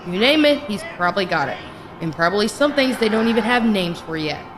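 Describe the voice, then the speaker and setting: A woman's voice: medium pitch, big pitch swings, a little fast, background noise, close to an everyday voice. A woman playing a weary, bitter psychiatric ward nurse with an emphatic manner in a horror audio drama. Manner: exasperated, emphatic.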